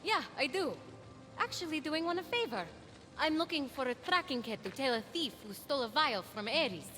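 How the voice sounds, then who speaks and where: A woman's voice: sits high, lively intonation, moderate pace, pushed and bright, soft background music. A woman playing a plucky, eager young warrior heroine in a video game, spirited and earnest. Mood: casual. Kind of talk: video game cutscene acting.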